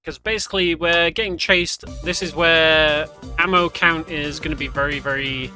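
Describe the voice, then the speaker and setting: Male game commentator, fairly high voice, casual walkthrough delivery, clear game music behind him. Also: fairly even intonation, normal speed, sharp tone. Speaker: speedrunner commentator.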